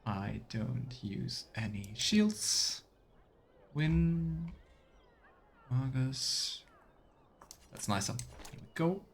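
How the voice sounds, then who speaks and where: A man giving casual let's play commentary as a streamer, halting and murmuring to himself as he thinks aloud. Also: mid-range voice, big pitch swings. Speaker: streamer playing a video game. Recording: clear background noise, keyboard clicks.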